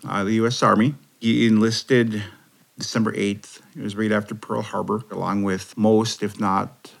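A man interviewed about his grandfather, speaking earnestly with some hesitation and trailing off softly. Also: lowish voice, gravelly. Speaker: interviewee, grandson of a code talker. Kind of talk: interview testimony.

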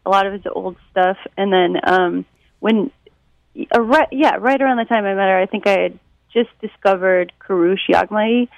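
Creaky-voiced American woman chatting. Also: mid-range voice, normal speed, no room sound, muffled recording. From Detroit, United States. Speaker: musician and songwriter being interviewed. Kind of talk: casual chat, interview answers.

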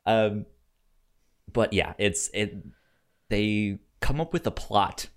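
Male podcast co-host, low-pitched, unhurried and halting, stutters on sounds and words, casual movie-review chat. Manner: halting, hesitant delivery.

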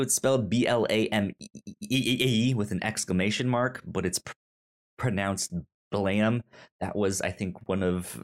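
A man hosting a casual podcast chat about manga, often stammering and stumbling over his words. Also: lowish voice. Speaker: podcast host.

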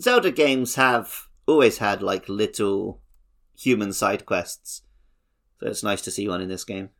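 A man's voice: fairly low pitch, a little slow, vocal fry, a bit bright. A man with an English accent co-hosting a podcast, telling stories in a casual, conversational way. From English countryside. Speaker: podcast co-host.

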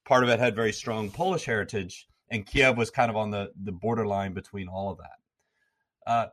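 A man's voice: fairly low pitch, normal speed, fairly bright tone. Man explaining history with many restarts. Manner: lecturing, frequent restarts and repeated words. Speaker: guest, former troop commander and history professor. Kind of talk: explanatory interview talk.

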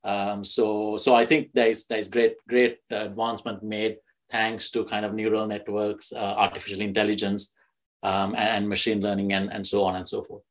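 Hesitant Sri Lanka-born man, lowish voice. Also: level tone, normal speed. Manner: hesitant, many restarts and fillers. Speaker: guest, fintech executive. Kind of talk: podcast interview answer.

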